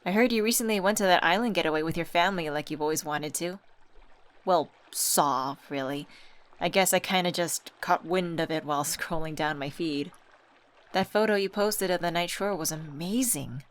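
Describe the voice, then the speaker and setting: A woman's voice: fairly low pitch, normal speed, natural voice. A young woman acting as a teasing, affectionate old friend in a casual ASMR comfort roleplay. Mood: fond, casual. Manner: playful, teasing, familiar slangy chat.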